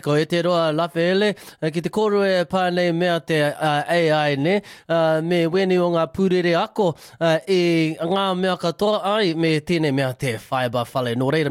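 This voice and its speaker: Male New radio host, friendly, relaxed, dry studio sound. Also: fairly high pitch, a little fast, hardly any pauses, dry recording. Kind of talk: radio interview.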